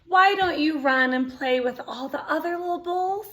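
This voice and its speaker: A woman voicing a gentle, caring mother cow from a storybook, in a coaxing manner. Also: high voice, normal speed, pitched above the performer's own voice.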